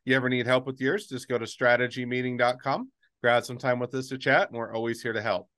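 Man, podcast host, smooth resonant voice, dry close sound, halting with fillers. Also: medium pitch, level tone, a bit bright, dry recording. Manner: hesitant, many fillers. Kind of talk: podcast interview.

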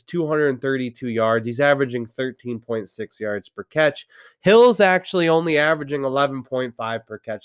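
A man's voice: mid-range voice, smooth, dry recording, studio quality. A man with a stuffy nose from a cold, giving an opinionated, rambling football recap with many restarts. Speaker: sports commentator. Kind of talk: ranting sports recap.

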